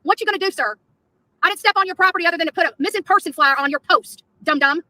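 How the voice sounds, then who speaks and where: A woman's voice: extremely high pitch, fast, sharp tone, no echo. A woman on a confrontational live rant, taunting and repeating her phrases, with swearing. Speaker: activist streamer in a played clip.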